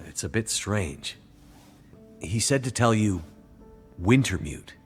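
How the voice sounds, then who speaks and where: A deep male voice with faint music underneath. He is a wary traveler in a video game, plain-spoken and understated. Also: animated, slow pace. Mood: sober, plain. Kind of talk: video game cutscene acting.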